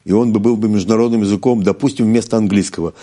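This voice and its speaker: Deep fast-talking male host. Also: steady tone, mellow. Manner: brisk, reads out questions and prompts. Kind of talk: reading viewer questions.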